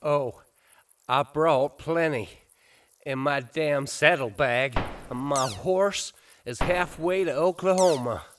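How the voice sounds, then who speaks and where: Slow-drawling sarcastic posse gunman. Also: fairly high pitch, background noise. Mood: grim, sarcastic. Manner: sarcastic, grumbling. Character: beleaguered, sarcastic Old West posse gunman. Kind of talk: western audio drama acting.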